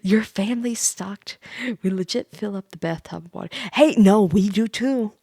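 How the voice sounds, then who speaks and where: A woman's voice: mid-range voice, normal speed, warm tone, no room sound. Playful rambling woman streamer, dry close sound. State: tipsy from drinking wine. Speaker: livestream host, VTuber. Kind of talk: casual livestream chat to viewers.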